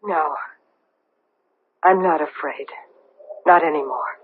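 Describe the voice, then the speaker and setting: A woman playing a haunted, vengeful massacre survivor in a radio drama, speaking deliberately and accusingly with a husky voice. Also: deep voice, a little hiss or hum. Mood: resolute. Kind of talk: dramatic radio play acting. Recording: tinny, narrow-band old radio broadcast.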